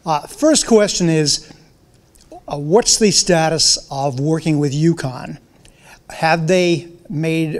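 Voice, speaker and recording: A man's voice: on the high side, a little mellow. A man in the audience asking direct questions of the panel. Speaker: audience member.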